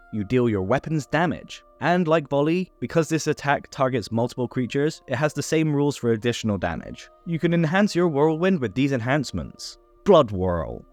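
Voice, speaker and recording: Man with lively pitch explaining game rules. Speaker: host of a tabletop game tutorial channel. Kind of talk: scripted explainer narration.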